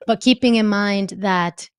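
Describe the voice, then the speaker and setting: Woman guest, professor type; earnest, emphatic explainer with an even middle pitch, dry recording. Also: slow, clear, resonant tone, dry recording. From born in Costa Rica, raised in New Jersey. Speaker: guest, professor teaching on-camera confidence. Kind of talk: interview answers.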